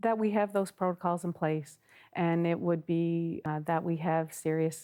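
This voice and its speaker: A woman public health official explaining preparedness in a measured, explanatory way during a news interview. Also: on the low side, steady tone, mellow, clear, resonant tone.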